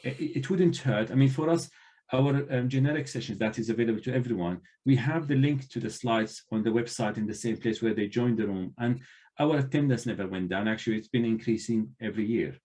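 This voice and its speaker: A man's voice: mid-range voice, a little mellow. A man who is not a native English speaker, a librarian trainer speaking earnestly on an online panel, with frequent restarts. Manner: earnest, halting, many restarts.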